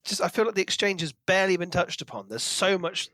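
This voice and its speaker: A man with a somewhat high-pitched voice talks casually as a podcast co-host. His delivery is informal and keen, like a reader sharing opinions. The recording is dry and free of room echo. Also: animated, fast talker, bright, forceful tone. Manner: enthusiastic, informal. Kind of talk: casual book discussion.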